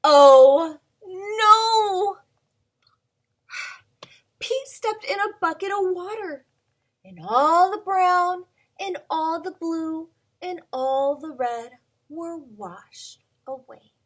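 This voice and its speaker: A woman who is a preschool teacher, reading a picture book aloud to young children at home in a playful, expressive way, asking questions and sometimes whispering. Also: very high-pitched, very slow.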